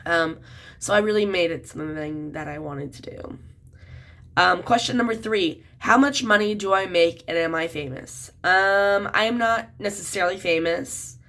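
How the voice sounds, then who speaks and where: A woman's voice: fairly low pitch, normal speed, fairly bright tone. A woman in her twenties, a children's book author, talking casually to camera while a bit sick, with lots of "um" and "like" fillers. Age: 20s. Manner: chatty, many "um" and "like" fillers.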